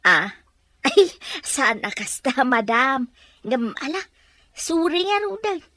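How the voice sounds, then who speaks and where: A woman's voice: high voice, very animated, slow pace, fairly bright tone, full character voice. A woman acting a sassy, outspoken young woman who talks back, in a Filipino audio drama. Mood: cheeky, reproachful.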